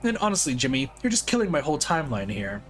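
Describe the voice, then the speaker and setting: A man's voice: a little high, big pitch swings, fast talker, fairly bright tone, soft background music. A man voicing a smug, manipulative male villain who taunts with theatrical mockery. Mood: taunting. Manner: mocking and theatrical.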